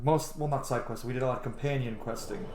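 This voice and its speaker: A man's voice: mid-range voice, fast talker, darkish tone. A man hosting a gaming channel, giving informal, slangy gameplay commentary and thinking aloud. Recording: roomy sound with game music behind. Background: video game music.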